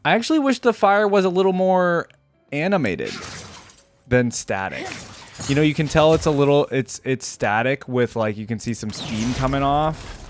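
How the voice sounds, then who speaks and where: Animated man reacting to a game. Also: medium pitch, moderate pace, faint music behind. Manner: rambling, interjecting, thinking aloud. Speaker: reaction video host. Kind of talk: casual reaction commentary on video game skins.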